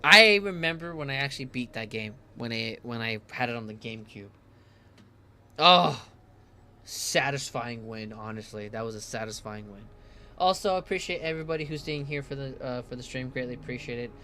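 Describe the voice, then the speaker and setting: A man streaming a game from home on a headset, chatting casually and reading in-game text aloud, tripping over hard names. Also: medium pitch. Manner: reads lore in a slower, calmer voice.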